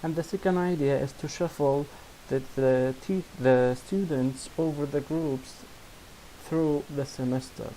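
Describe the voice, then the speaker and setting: A young man from Syria with an Arabic accent, a non-native English speaker, demonstrating his student project in halting speech full of restarts and fillers. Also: fairly high pitch, fairly even intonation, dark tone, slight background noise, poor audio. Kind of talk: screen-recorded software demo.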